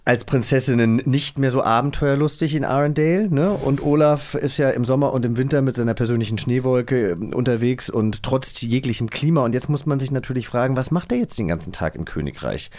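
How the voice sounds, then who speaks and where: Mid-pitched man hosting a casual show. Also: fairly quick, hardly any pauses, darkish tone. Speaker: host. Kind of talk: casual magazine show moderation.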